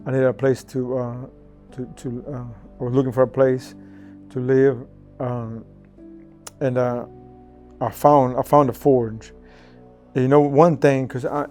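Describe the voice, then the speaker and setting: Mellow-voiced man, flat and halting. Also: medium pitch. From El Salvador. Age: 40s. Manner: earnest, halting, often restarting his sentences. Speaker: testimony speaker. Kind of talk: personal faith testimony.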